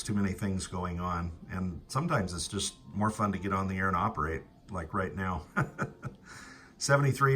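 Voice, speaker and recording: American male ham operator, very low but crisp-edged bright voice, halting casual radio chat. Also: a little hiss or hum.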